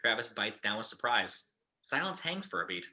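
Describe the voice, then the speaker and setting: Bright-voiced man reading script directions. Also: mid-range voice, big pitch swings, normal speed. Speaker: narrator of stage directions. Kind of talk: reading screenplay action lines aloud at an informal table read.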